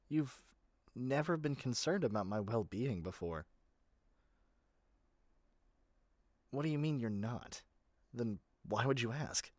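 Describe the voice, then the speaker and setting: A man's voice: mid-range voice, no echo. A man playing a doting yet controlling yandere kidnapper in an ASMR roleplay, speaking intimately to the listener with sweet pet names. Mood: doting, concerned.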